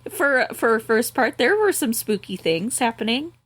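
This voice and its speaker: A woman's voice: a little high, sing-song, normal speed, fairly bright tone, husky, dry recording. A woman co-hosting a podcast who reads a gamebook aloud and chats casually, laughing often and swearing freely. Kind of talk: casual chat and reading aloud.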